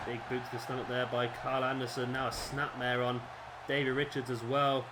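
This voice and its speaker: A man, his voice a little hoarse, doing casual play-by-play commentary over a wrestling video game, rambling with fillers and correcting himself. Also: mid-range voice, level tone, a little fast, very noisy. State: slightly hoarse, losing his voice. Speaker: gameplay commentator.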